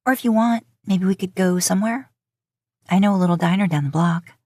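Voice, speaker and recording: A woman's voice: mid-range voice, fairly quick, a little mellow, dry recording, natural voice. A woman voicing a sweet, playful young woman in an audio fan story, speaking in a light, quipping way. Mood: playful, hopeful.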